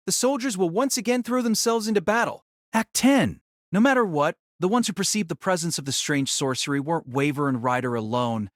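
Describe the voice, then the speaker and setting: A man's voice: fairly high pitch, no echo, clean studio sound. A man reading dramatic scripted story narration for an audio drama.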